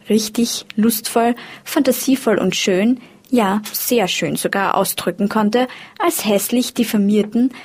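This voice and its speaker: Woman earnestly reading a passage aloud. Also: medium pitch. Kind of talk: reading a quoted text aloud.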